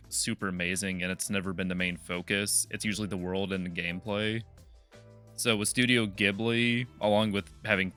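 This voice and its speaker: Young male co-host, lowish bright voice, jokey casual talk. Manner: jokey, laughs through his lines. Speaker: podcast co-host. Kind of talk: casual chat.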